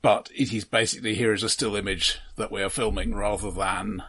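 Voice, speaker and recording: A man with a husky, slightly rough voice co-hosts a casual film podcast. He is precise and wry, adding qualifications as he goes. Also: fairly low pitch, fairly even intonation, a little fast, bright, forceful tone. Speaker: podcast co-host.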